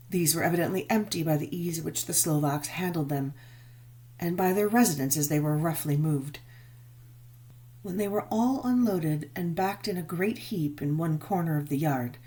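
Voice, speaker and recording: Mellow, low-voiced woman narrating gothic fiction. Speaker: audiobook narrator. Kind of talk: dramatic scripted narration of a gothic novel.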